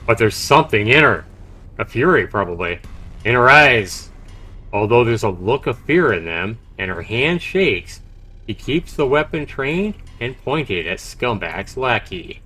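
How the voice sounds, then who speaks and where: A man's voice: medium pitch, big pitch swings, unhurried, sharp tone, breathy edge. A man livestreaming a visual novel, reading the text aloud dramatically and reacting expressively as he comments. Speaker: game streamer. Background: game music.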